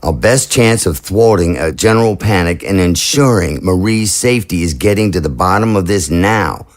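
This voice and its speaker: A man's voice: deep voice, lively intonation, moderate pace. Man acting as troubled, tender undead president. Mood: resolute, grave. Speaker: voice actor. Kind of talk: audio drama dialogue.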